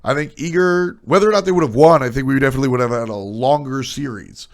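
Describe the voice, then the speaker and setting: A man's voice: mid-range voice, fast. A man with a stuffy, nasal voice streaming casual, blunt opinions on esports, trailing off into asides.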